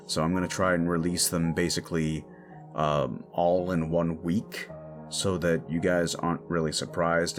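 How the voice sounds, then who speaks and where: A man speaking casually as an audio roleplay creator, giving an informal outro to his listeners. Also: low-pitched.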